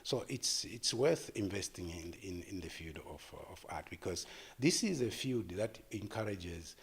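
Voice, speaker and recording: A man of about sixty, giving halting unscripted interview answers and repeating words as he thinks. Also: low-pitched, animated, slightly rough. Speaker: artist and gallery founder being interviewed.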